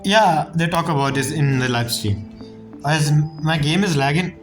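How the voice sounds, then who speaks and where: Male game streamer, higher-than-average pitch, informal and halting, reacting casually over soft game music. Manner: chatty, informal, halting phrasing. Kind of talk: casual let's-play commentary.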